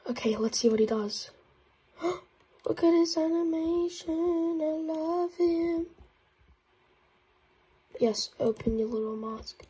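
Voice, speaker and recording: A woman with a blocked nose and a croaky voice, giving excitable gameplay commentary with frequent whispered asides. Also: extremely high pitch, slow pace, long pauses. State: congested, croaky voice. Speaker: gaming YouTuber.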